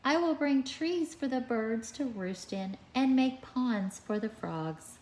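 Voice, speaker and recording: A woman's voice: on the high side, slow pace, darkish tone, pitched above the performer's own voice, low-quality recording. A woman voicing an eager, imaginative, earnest little boy in a storybook reading. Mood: eager, dreamy. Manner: earnest, enthusiastic.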